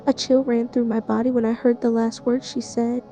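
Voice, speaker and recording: Mellow flat-voiced woman narrating horror. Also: a little high, normal speed, soft background music. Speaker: narrator playing the listener's character. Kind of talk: first-person horror narration.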